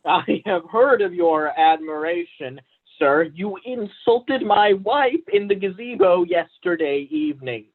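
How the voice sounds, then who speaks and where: A man's voice: high voice, lively intonation, unhurried, creaky, phone-quality sound, heavily acted. A young man, a student actor, playing a pompous, vain, blustering poet and husband in a staged reading of a period comedy, speaking with stiff formality. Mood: stiff, indignant. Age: young adult.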